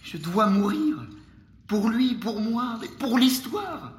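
An imprisoned Gaulish war chief, high-pitched for a man, in an echoing space with noticeable ambient noise. He is proud and resigned to his death, in solemn audio-fiction style. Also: animated, slow pace, exaggerated voice. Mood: solemn, determined. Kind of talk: French audio fiction acting. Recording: echoing cell with clear background ambience.

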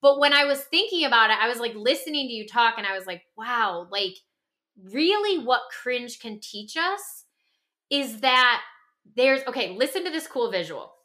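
A woman co-hosting a podcast in animated conversation, emphatic and often checking in with her listener. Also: on the high side, normal speed. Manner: acts out imagined reactions in quoted voices. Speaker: podcast co-host.

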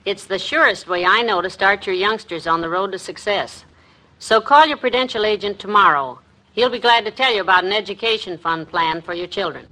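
Lady as a homey sensible housewife in old radio comedy, bright clear-cut voice, wry. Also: mid-range voice, fairly quick, natural voice, low-quality recording. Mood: earnest. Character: sensible, affectionate middle-aged housewife. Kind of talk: 1950s radio comedy acting.

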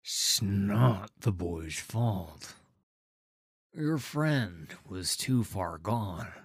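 Weary old countryman acted by a man, guarded and humble, drawn-out halting delivery, dry recording, for audiobook fantasy. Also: lowish voice, expressive, creaky, breathy edge, no echo, heavily acted. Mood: solemn. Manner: slow, subdued, halting.

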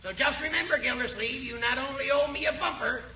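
A gloating, crabby neighbour in a 1940s radio comedy. His voice is extremely high and needling, heard from a distance in a roomy space on a muffled recording. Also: fairly quick, vocal fry, sharp tone, a little hiss or hum, exaggerated voice.

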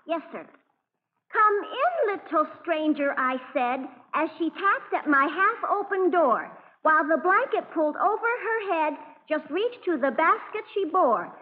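A woman's voice: extremely high pitch, big pitch swings, measured pace, exaggerated voice. A woman playing a proud, stubborn little schoolgirl with a sing-song reciting manner in an old radio drama. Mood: eager, earnest. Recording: echoey, tinny, noisy old radio broadcast.

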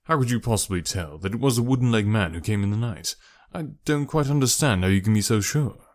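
A man voicing a shrewd, suave detective in an audiobook, calm, measured and smoothly bland. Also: fairly low pitch, close to an everyday voice. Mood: bland, probing. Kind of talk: audiobook character voice.

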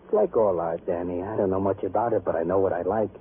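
A man's voice: deep voice, fast. An actor playing a world-weary, fatherly police doctor with a sardonic, theatrical, sighing manner in a 1950s radio drama. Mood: wry. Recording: narrow, hissy vintage radio recording.

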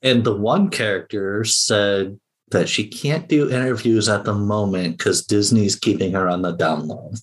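A man's voice: on the low side, a little slow. A man chatting casually as a guest podcaster in an interview.

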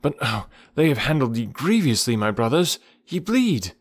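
Storybook jungle boy, young and earnest, plaintive raised voice pitched high by an adult male narrator. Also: very animated, normal speed, no room sound, clean studio sound. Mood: sore, concerned.

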